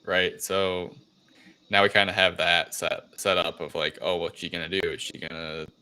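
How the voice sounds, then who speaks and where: A man's voice: deep voice, flat delivery, moderate pace, sharp tone, clear, resonant tone, low-quality recording. A man hosting a podcast, recapping a film in a casual, loosely worded, rambling way.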